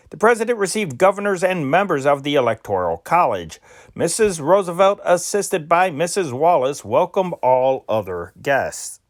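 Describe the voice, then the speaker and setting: A man reads archival newspaper stories aloud in a steady, emphatic cadence. His voice sits a bit higher than most men's, and he sometimes trips over a word and restarts. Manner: measured, emphatic reading with occasional stumbles and restarts. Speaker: news reader.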